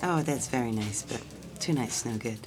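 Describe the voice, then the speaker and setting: An actress playing a polite young office secretary in an audio drama, who also narrates in the first person. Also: female voice, extremely low pitch, moderate pace. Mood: polite, slightly flustered. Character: polite, fond French office secretary, engaged young woman. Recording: music behind the voice.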